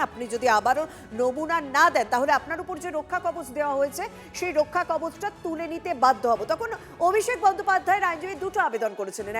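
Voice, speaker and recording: A woman's voice: very high voice, fairly bright tone. A woman anchoring live breaking news in an urgent broadcast style.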